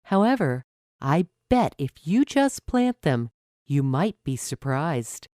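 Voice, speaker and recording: Lady doing a patient mom in a kids story, gentle, explains things slowly, lilting voice. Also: low-pitched, big pitch swings, no echo, studio quality, full character voice. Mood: encouraging. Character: patient, encouraging mother explaining to her child.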